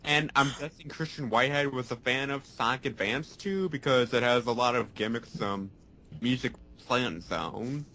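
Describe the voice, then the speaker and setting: A man's voice: mid-range voice, normal speed, bright, forceful tone, slight background noise. Young man geeking out casually. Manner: enthusiastic gamer talk. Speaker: podcast co-host. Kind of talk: casual chat.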